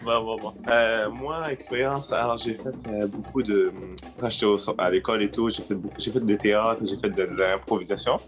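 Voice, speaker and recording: A man's voice: fairly low pitch, steady tone, brisk, hardly any pauses, over music. A young man introducing himself eagerly at an audition, repeating his phrasing. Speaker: model and extra auditioning. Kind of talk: self-introduction at a casting session.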